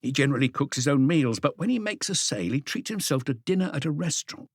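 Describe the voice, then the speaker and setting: A man voicing an excitable, eager police inspector who reports deferentially to his boss in an audiobook. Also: on the high side, quick pace, dry recording, studio quality. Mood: eager, reporting.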